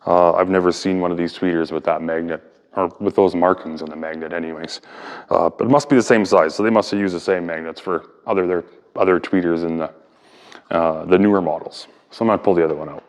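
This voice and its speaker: Male hobbyist host, low clean voice, informal hands-on explainer delivery, thinking aloud, reverberant room sound. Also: fairly even intonation, fast. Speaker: hobbyist video host and speaker restorer. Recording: echoey room with long reverb.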